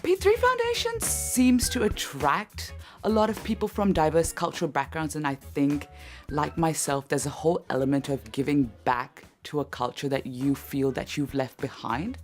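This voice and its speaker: A woman's voice: sits low, animated, moderate pace, soft background music. A woman narrating a documentary and informally leading a group as its presenter. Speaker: youth programme leader and presenter.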